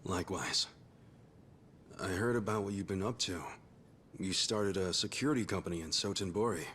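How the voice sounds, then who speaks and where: A man's voice: deep voice, moderate pace, a bit bright, a little hiss or hum. A man playing a loyal, earnest ex-yakuza in a video game cutscene. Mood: calm. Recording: music behind the voice.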